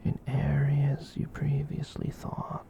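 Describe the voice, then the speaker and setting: A performer voicing a gentle giant catboy in a whispered ASMR roleplay, soothing and rambling, with hesitant pauses. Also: mid-range voice, monotone. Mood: gentle, reassuring. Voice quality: breathy whisper. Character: gentle giant catboy, soothing, reassuring, shyly self-conscious.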